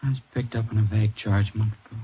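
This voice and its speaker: An actor playing an evasive twenty-two-year-old drifter under police questioning in a 1950s radio drama, answering in a sullen, guarded way. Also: male voice, lowish voice, steady tone, racing, slightly rough. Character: evasive, defensive young male drifter suspect, twenty-two. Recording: dull, noisy vintage radio recording.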